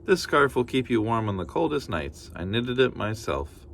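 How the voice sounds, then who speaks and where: A man voicing a kindly old grandmother who fusses warmly over her guest. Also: mid-range voice, sing-song, normal speed, vocal fry, a little hiss or hum. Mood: doting. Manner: doting, gently scolding.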